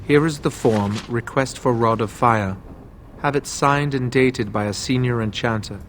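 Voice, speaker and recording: An actor playing an emotionless, procedural keeper of a magical stockroom in a video game, formal and detached. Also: male voice, medium pitch, unhurried, slight background noise, close to an everyday voice. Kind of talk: scripted video game dialogue.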